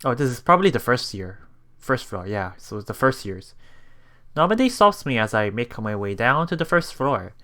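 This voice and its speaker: A man doing a let's play, reading story narration aloud with casual commentary, halting and chuckling as he goes, with game music behind him. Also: mid-range voice, sing-song, bright, forceful tone. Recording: voice over game background music.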